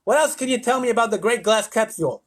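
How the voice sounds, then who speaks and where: A man voicing a pompous, hot-tempered American President in a storybook, barking impatiently in a raspy voice. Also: animated, quick pace. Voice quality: deep rasping. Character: blustering, hot-tempered male President of the United States.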